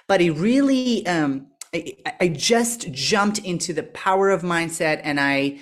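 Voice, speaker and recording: A woman in her thirties from Serbia, a podcast guest telling her life story in a candid, animated way. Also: fairly low pitch, a little slow. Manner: candid, animated, frequent "like" and quoted self-talk. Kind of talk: conversational storytelling.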